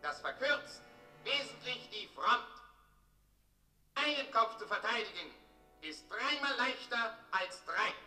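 An actor playing an officious town crier in a radio play, declaiming bureaucratic proclamations. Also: male voice, very high voice, expressive, takes it slowly, pauses often, bright, forceful tone, heavily acted. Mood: pompous, official. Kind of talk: German radio play acting. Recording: roomy distant radio play, music behind.

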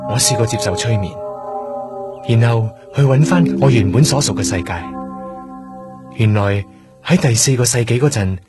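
A male actor plays a strange, melancholy young man in a Hong Kong Cantonese radio drama. His mid-pitched voice is earnest and slightly awkward as he explains himself, with prominent music behind him. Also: normal speed, pauses often, lightly acted. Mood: solemn, melancholy. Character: odd, melancholy, earnest young trainee doctor.

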